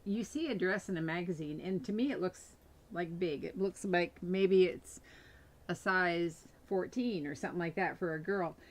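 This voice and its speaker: A woman's voice: on the low side, moderate pace. A woman hosting a casual chat, bubbly and joking, often restarting her sentences. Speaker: host.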